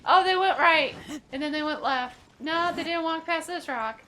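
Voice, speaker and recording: A woman's voice: extremely high pitch, normal speed, pushed and bright, a little hiss or hum. A woman hosting a gaming video with casual, joking, sarcastic commentary. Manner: sarcastic, wry.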